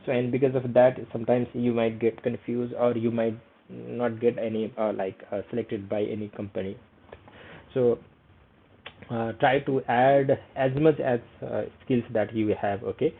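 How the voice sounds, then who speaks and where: A young man with a Nepali accent, speaking English as a second language, guides viewers through a tutorial. His voice is gravelly and sits at a middle pitch. He halts often and fills the gaps with "uh" and "okay", and the sound is tinny, as if heard over a phone. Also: level tone, normal speed. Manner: hesitant, many fillers, frequent "okay". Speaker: vlogger. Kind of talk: screen-share tutorial.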